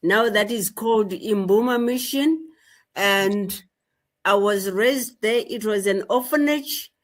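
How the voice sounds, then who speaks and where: Slow, measured woman. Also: mid-range voice. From rural Zimbabwe. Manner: slow, measured, repeats phrases. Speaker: guest activist. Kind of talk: interview storytelling.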